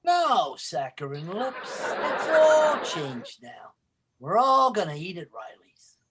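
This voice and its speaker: An actor playing a sly, hot-tempered working-class husband, excitable and sarcastic, in old-time radio sitcom style. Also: male voice, high-pitched, slow, a loud crowd, heavy background noise, exaggerated voice.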